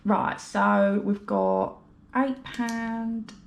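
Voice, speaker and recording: Stuffy-nosed british lady rambling on while counting cash, bit of fry in her voice. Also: fairly high pitch, steady tone, drawn-out words, a little hiss or hum. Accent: British English. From United Kingdom. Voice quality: chatty, rambling, apologetic. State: congested with a head cold. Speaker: budgeting vlogger.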